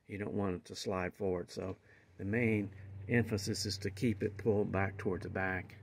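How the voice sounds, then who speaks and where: A man's voice: low-pitched, fairly even intonation, normal speed, creaky, a little hiss or hum. An older man casually explaining as he demonstrates gear in a garage, rambling as he goes. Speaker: channel host. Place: garage with van, indoors and outdoors.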